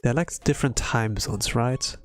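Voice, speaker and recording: A man from Europe, a non-native English speaker, chatting casually on a livestream in a hesitant, halting way with lots of fillers, sounding tired. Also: medium pitch. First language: not English. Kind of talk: casual rambling livestream chat. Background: background music.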